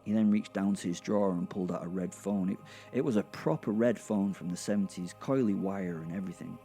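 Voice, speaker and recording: A man narrating a first-person horror comedy story with a theatrical, relishing manner and dramatic pauses. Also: deep voice, dark tone, faint music behind. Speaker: narrator and host.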